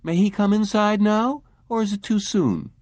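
A man voicing a polite, pleasant young female receptionist, pitched well up. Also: normal speed, darkish tone, dry recording, natural voice.

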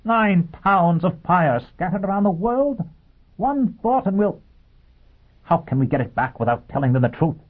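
A man voicing an alarmed, outraged male pursuer in a science fiction audiobook, shouting in strained disbelief. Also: fairly high pitch, very animated, moderate pace, breathy edge, pitched above the performer's own voice. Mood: frantic, outraged. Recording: muffled, phone-like narrow band.

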